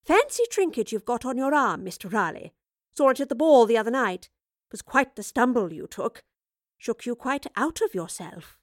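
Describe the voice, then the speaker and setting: A woman's voice: on the high side, normal speed, no room sound, studio quality. Woman doing a teasing eccentric lady professor. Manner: teasing, flirtatious, lively. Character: eccentric playful female time-travelling professor. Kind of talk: audiobook reading.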